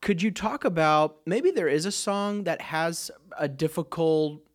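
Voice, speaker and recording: Higher-voiced man in easy chat. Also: expressive, measured pace. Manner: easygoing, frequent short agreements. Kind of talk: casual podcast conversation.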